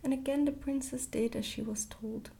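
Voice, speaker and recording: A woman gently reading a storybook aloud in a hushed, whispered ASMR style. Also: high-pitched, fairly even intonation, normal speed, vocal fry, breathy edge. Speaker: storybook reader, ASMR creator.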